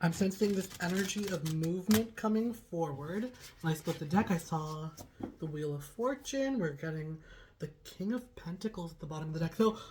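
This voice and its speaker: A young woman with a hoarse, raspy voice, still getting over a cold. Her voice sits low and mellow. She talks through a tarot reading in a loose, chatty way, drifting from thought to thought. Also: animated, normal speed, slight background noise, poor audio. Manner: chatty, rambling, heavy use of "like". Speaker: tarot reader. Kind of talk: casual channeled tarot reading.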